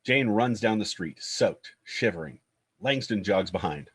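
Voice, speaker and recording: A man's voice: fairly low pitch, lively intonation, measured pace, fairly bright tone, husky. A man narrating screenplay stage directions at a table read.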